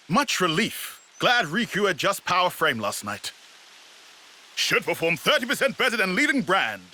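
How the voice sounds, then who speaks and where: A man's voice: high voice, sing-song, slow, pauses often, heavily acted. A man voicing a fussy, sensible small creature tinkerer in a video game, lecturing drily in clipped broken English. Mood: relieved. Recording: loud game music underneath.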